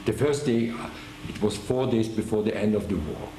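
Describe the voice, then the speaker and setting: An older man giving an oral history interview, speaking haltingly with many restarts and fillers. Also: fairly low pitch, lively intonation, warm tone, echoey room, slight background noise, poor audio. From Germany. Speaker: veteran interviewee.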